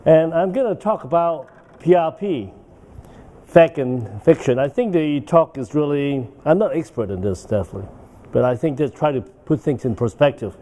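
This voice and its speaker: Mellow-voiced older man lecturing. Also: a little high, animated, moderate pace. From Hong Kong. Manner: rambling, with frequent "uh" and "okay?" tags. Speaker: guest lecturer. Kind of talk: medical conference lecture. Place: banquet hall.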